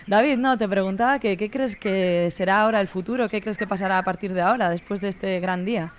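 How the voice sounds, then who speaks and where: A man's voice: sits high, fast talker. A man hosting a live radio broadcast, courteous and presenterly as he interviews, on a muffled noisy recording. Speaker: radio host.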